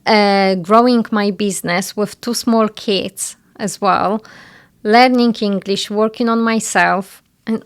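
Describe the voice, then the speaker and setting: A woman's voice: mid-range voice, fairly even intonation, slow pace, hardly any pauses, clear, resonant tone. Earnest woman with a Polish accent. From Poland. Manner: earnest, reflective. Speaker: guest, business founder. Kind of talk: casual interview conversation.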